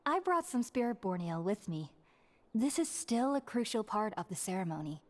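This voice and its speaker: A woman's voice: mid-range voice, sing-song, normal speed, lightly acted. A woman voicing a zealous, chipper young scholar of mysticism in a video game, effusive and theatrical. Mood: eager. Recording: faint game music behind.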